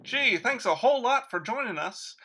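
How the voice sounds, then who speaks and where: A man's voice: very high voice, animated, normal speed, sharp tone, breathy edge, full character voice. A man playing a chipper old-time radio host with an announcer's showmanship, in 1940s radio style. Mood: chipper, polished.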